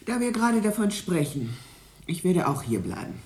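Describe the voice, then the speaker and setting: A stern, zealous missionary in a radio drama. She is preachy and solemn, with very animated pitch and a roomy echo around her. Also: moderate pace. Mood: resolute, fervent. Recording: roomy, echoing studio scene.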